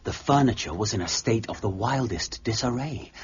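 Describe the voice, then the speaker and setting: Earnest gentleman companion, lively intonation. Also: on the low side, normal speed, husky, muffled recording. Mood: grave, dramatic. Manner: polite, formal, dramatic when reading aloud. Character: earnest, courteous gentleman friend of a detective. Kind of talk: period mystery audio drama acting.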